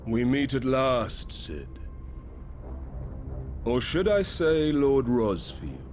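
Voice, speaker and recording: A man playing a menacing, mocking warlord villain in a video game, theatrical and gravelly, with deliberate, taunting delivery. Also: medium pitch, very animated, darkish tone, soft background music. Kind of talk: dramatic video game acting.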